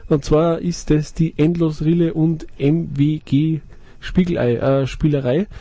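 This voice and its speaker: Gravelly dry-voiced radio host, man. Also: a little high, steady tone, unhurried, dark tone, no room sound. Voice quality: gravelly, husky. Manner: stumbling, loses his thread. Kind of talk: casual radio chat, reading event listings. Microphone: dry studio mic.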